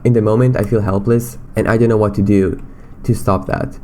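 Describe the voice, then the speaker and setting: A man's voice: on the low side, fairly even intonation, brisk, warm tone, a little hiss or hum. A young man from Romania with a slight Romanian accent, rambling in a casual, self-deprecating way on his solo podcast. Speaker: podcast host.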